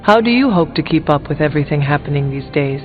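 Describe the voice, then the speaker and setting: Man acting solemn hunter, higher voice, narrow-band audio. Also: animated, faint music behind, close to an everyday voice. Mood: curious. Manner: serious, formal, deliberate. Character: solemn, determined warrior hunter from the past. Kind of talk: video game character dialogue.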